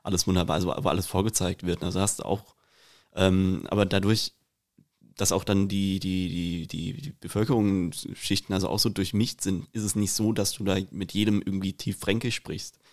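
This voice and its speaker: A man with a Hessian accent tells a long story at a steady pace, often repeating a word before he gets going. His voice sits a little low for a man. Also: normal speed. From Rüsselsheim, Germany. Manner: hesitant, repeats words when starting. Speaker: podcast co-host. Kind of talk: casual anecdote telling.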